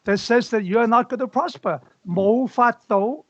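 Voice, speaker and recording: A man's voice: very high voice, moderate pace, a bit bright, no echo. A man speaking as a panelist in an online discussion, chatty and hesitant, often laughing as he talks, heard over a video call. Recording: slightly muffled, dull call audio.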